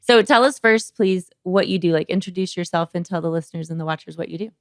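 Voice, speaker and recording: A woman's voice: lowish voice, fast, no room sound, studio quality. A woman hosting a podcast as a doctor, talking in a chatty, informal interview style. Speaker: podcast host, OB-GYN doctor.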